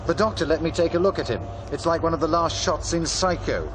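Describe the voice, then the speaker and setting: An actor playing a wry, sceptical broadcasting colleague talking dryly and ruefully in a radio drama. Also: male voice, a little high, expressive, fairly quick, noisy background, close to an everyday voice. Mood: wry, resigned. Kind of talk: conversational radio drama acting.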